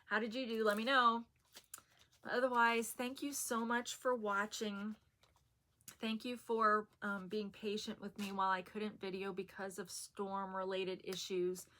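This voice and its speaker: A woman talking casually to her viewers as a haul vlogger, rambling with soft fillers and a soothing voice. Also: mid-range voice, little pitch movement, slow pace, long pauses. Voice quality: soft and soothing, said to put people to sleep. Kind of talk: casual chat to camera.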